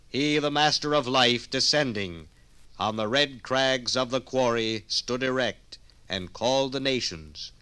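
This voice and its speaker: A man's voice: medium pitch, bright, forceful tone, clear, resonant tone. An American man giving a stately, rhythmic, declamatory poetry recitation in 1950s radio style.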